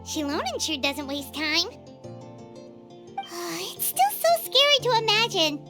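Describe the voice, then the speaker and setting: An actress playing a tiny, chirpy, childlike fairy companion with a squeaky voice in an anime-style video game. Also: female voice, very animated, takes it slowly, exaggerated voice. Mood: amazed. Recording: music under the dialogue.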